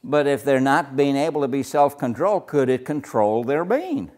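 Older guy running a bible study, loud voice, gets louder when he's fired up. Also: medium pitch, animated, normal speed. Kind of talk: leading a group discussion.

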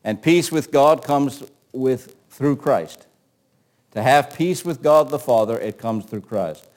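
A man's voice: medium pitch, slow, darkish tone. Older man preaching a conversational sermon. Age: 60s. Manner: emphatic, conversational, repeats phrases and poses rhetorical questions. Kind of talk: sermon with scripture readings.

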